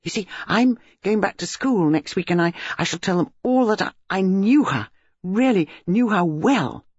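A man puts on a keen little schoolboy, pitched well up and bouncing all over the place, who trips over his words in his eagerness. The sound is dull and dry, like an old lo-fi recording. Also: expressive, normal speed, husky, no room sound. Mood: excited. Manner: eager, stammering, breathless chatter. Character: excited talkative boy about nine.

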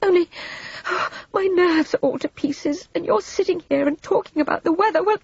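A woman voicing a shrill, nervous, sneering and craven younger woman in a dramatised storybook reading. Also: lively intonation, measured pace, breathy edge, full character voice. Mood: agitated, apologetic. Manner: bitter, rattled, sharp short laugh. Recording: roomy, slightly dull audiobook recording.

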